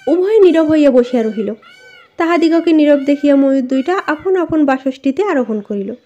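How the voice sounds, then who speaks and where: High-voiced woman reading a story, mellow tone. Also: animated, a little fast. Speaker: audiobook narrator. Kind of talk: scripted literary story reading. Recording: dull, lo-fi voice recording.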